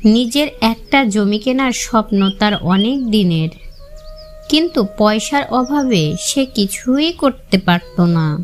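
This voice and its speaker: A woman's voice: on the high side, expressive, slow pace, soft background music. A woman narrating scripted moral stories for children. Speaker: storyteller.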